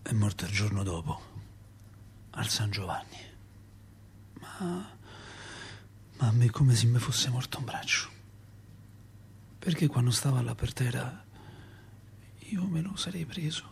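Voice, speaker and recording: A man's voice: medium pitch, lively intonation, measured pace, creaky, pauses often, a little hiss or hum. A male actor playing a grieving working-class Roman father, telling his story in an emotive, colloquial radio monologue with a Roman accent. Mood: grieving, tender.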